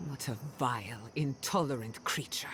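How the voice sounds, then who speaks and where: A woman's voice: extremely low pitch, normal speed, fairly bright tone, slight background noise. A woman acting a hard-nosed, resolute female companion in a game cutscene, grave, persuasive and unyielding. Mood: disdainful.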